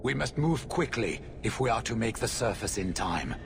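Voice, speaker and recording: A solemn, stern warrior commander speaks in a formal, measured way at a middling pitch. It is cinematic fantasy game dialogue over clearly noisy ambience. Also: male voice, lively intonation. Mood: grave, firm. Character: grave, stern veteran warrior of a solemn order.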